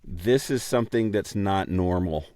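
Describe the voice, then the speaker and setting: A man co-hosting a podcast in casual chat, speaking haltingly with a self-deprecating manner. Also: low voice, warm tone, dry recording, clean studio sound. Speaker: podcast co-host and amateur voice actor.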